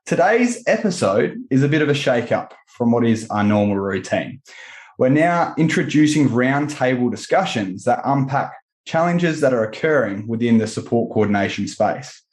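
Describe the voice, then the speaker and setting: A man's voice: medium pitch, sing-song, normal speed, darkish tone. Man hosting a podcast, noticeably roomy sound. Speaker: podcast host. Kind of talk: scripted intro and roundtable hosting. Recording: roomy, echoing voice recording.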